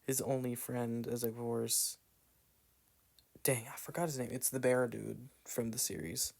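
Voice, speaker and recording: Gravelly Southern man rambling over gameplay. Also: mid-range voice, fairly even intonation, measured pace, darkish tone. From Southern United States. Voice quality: meandering with frequent "like" fillers and trailing asides. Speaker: gameplay commentator. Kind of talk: rambling casual commentary.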